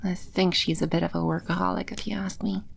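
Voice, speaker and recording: Female performer as teasing demon empress for ASMR roleplay: lowish pitch, heavy vocal fry, coy and self-assured. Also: level tone, slight background noise. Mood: relaxed, candid. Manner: unhurried, coy, teasing, confident. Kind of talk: ASMR audio roleplay, spoken close to the listener.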